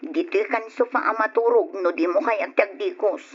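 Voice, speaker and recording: An actress playing a patient, dutiful young pregnant wife in an old radio drama. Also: female voice, mid-range voice, moderate pace, husky, lightly acted. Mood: sleepy, mildly reproachful. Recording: dull, lo-fi radio drama recording.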